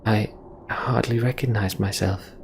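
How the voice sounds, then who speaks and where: A weary fantasy warrior in a game, read by a man, confessing gravely and haltingly. The voice is low and gravelly, weighed down with guilt. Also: steady tone, a little hiss or hum. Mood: regretful.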